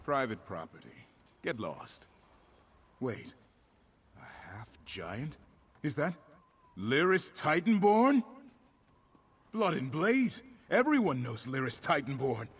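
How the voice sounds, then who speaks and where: A man voicing a gruff warehouse sentry with a husky voice in a fantasy game. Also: medium pitch, sing-song, takes it slowly, pauses often, heavily acted. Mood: curt warning. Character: gruff warehouse sentry, awestruck fan of a warrior. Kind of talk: fantasy video game character acting.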